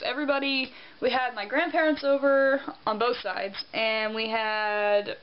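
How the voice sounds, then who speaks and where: A young woman in casual vlog chat, rambling and self-deprecating, tired and congested with a sore throat. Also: medium pitch. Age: young adult. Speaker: vlogger. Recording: dull lo-fi sound with faint hiss.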